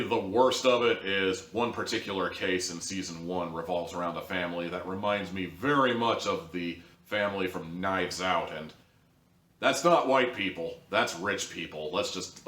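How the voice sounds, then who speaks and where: Male reviewer, lowish voice, casual and bluntly sarcastic delivery, recorded off mic in a roomy space. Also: normal speed, a bit bright, recorded from a distance. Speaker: online audio drama reviewer. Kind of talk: casual opinionated review commentary. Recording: echoey room, off mic.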